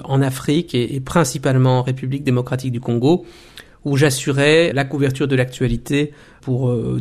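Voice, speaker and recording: A man in his forties, a documentary maker and former journalist, telling his story in a radio interview with thoughtful pauses and restarts. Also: medium pitch, vocal fry, darkish tone. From Belgium. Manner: thoughtful, many hesitations and restarts.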